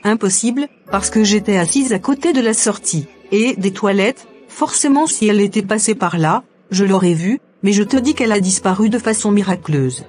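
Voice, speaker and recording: A woman acting a devout young woman in a cartoon drama, earnest and trusting. Music plays under her scripted dialogue, and her pitch sits at an ordinary height for a woman. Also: lively intonation, normal speed, few pauses, a little mellow, natural voice. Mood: earnest, insistent.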